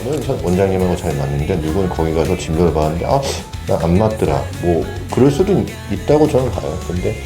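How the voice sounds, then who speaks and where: A man's voice: low-pitched, lively intonation, fast, hardly any pauses, music in the background. A man, a former patient, earnestly sharing his experience of treatment in a loose, reflective way. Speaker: patient guest. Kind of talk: casual testimonial.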